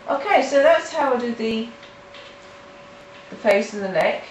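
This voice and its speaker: A woman with a nasal voice from hay fever, talking halting and casual as she walks through a coloring tutorial at home. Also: on the high side, animated. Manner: halting, with restarts and fillers. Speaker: art tutorial host. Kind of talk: casual step-by-step tutorial narration. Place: home in the countryside. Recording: roomy, mic at a distance, faint noise.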